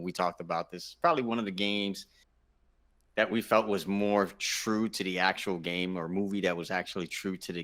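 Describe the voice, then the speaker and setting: A man with a low voice and a bright, punchy tone chats casually as a gaming show co-host. He leans on his points and repeats phrases for emphasis. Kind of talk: casual panel chat.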